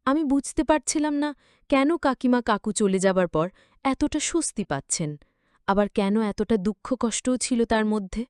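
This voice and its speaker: A woman's voice: a little high, animated, moderate pace, a little mellow, clean tone, no room sound, studio quality. A woman reading a scripted, emotional story as its narrator.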